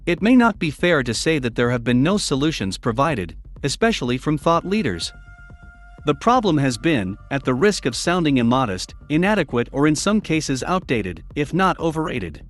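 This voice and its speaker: Male audiobook reader, fairly high voice, lively intonation, soft music underneath. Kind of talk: reading a nonfiction book aloud.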